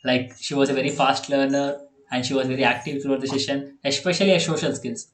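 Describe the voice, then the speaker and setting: A man's voice: mid-range voice, fairly even intonation, quick pace. Hesitant Indian-accented young man, echoey. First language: Telugu. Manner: hesitant, many fillers and restarts. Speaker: online coding tutor. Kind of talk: casual online tutoring session. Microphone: video call. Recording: echoey video call audio.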